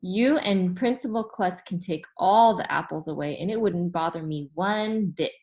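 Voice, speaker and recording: A woman's voice: on the low side, moderate pace, a little mellow, close to an everyday voice. A woman voicing a grumpy, dismissive young schoolboy in a storybook, complaining bluntly.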